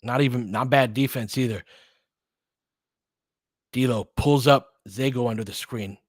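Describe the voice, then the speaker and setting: A man's voice: mid-range voice, a little slow, dry recording, clean studio sound. A man giving informal live basketball play-by-play with bits of analysis, in clipped, slangy, conversational phrases as a partisan fan. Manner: partisan fan of one team.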